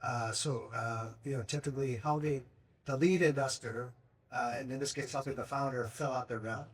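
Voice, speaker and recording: A man giving an event presentation who hesitates often and fills pauses with fillers. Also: medium pitch, steady tone, slightly rough. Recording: voice far from the mic.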